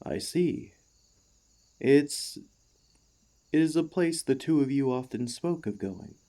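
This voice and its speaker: A man playing an earnest, devoted warforged ex-gladiator in an ASMR roleplay, speaking to the listener with formal, courteous, gently hesitant phrasing. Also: medium pitch, animated, takes it slowly. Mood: warm, tentative.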